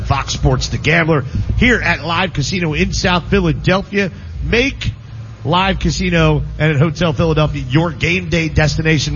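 A man hosts a live sports talk radio show, trading jokes with his co-hosts and running through sponsor reads. His voice sits high for a man. The broadcast sound is a little dull, with a faint hiss behind it. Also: a bit bright. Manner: jokey, reads sponsor copy briskly. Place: radio broadcast set at a casino. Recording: slightly dull radio studio audio, faint noise.

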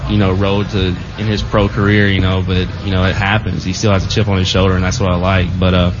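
A young man with a slightly low voice talks casually as a guest on a show, laid-back and halting, with lots of fillers. The audio sounds dull and lo-fi. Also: completely flat delivery. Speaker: football player guest. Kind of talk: casual interview chat.

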